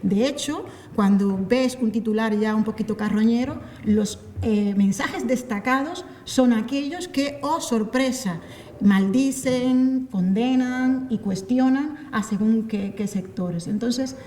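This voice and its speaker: A woman's voice: fairly high pitch, slow pace, a little mellow. Distant woman asking from the audience. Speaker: audience member asking a question. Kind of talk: spontaneous question from the floor. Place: audience in large indoor room. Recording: distant, noisy, echoey hall pickup.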